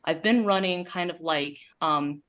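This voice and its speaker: A woman's voice: low voice, measured pace. A woman psychiatrist talking on a video-call panel, friendly and full of ums and likes. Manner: warm filler-heavy talk, many ums and likes.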